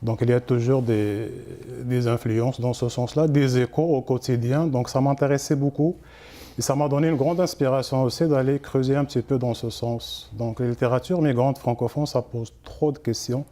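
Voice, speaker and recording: A man whose first language is Arabic, an author speaking as a guest in a television interview, measured and agreeing often. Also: mid-range voice, fairly even intonation, dark tone. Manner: measured, repeats "exactement" often.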